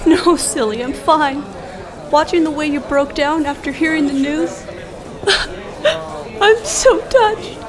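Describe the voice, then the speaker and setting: Lady doing a playful sweet wife in sketch comedy, really high n lilting, crowd noise in back. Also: slow, long pauses. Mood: sweet, teasing. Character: sweet, teasing wife who pranks her husband. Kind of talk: comedy audio sketch acting.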